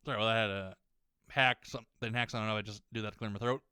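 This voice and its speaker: Young guy ranting over gameplay, cusses a lot, kinda hoarse, bright crisp voice. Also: fairly low pitch, steady tone, fast, no room sound, studio quality. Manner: rambling, swears a lot, many restarts. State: slightly hoarse voice that warms up. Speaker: gaming YouTuber. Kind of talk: rambling rant while playing a game.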